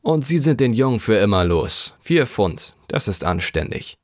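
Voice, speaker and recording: A man's voice: lowish voice, very animated, moderate pace, no echo, clean studio sound, voice pushed up. A man voicing a poor working man who pleads and haggles over a price in an audiobook. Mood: wheedling. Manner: wheedling, complaining.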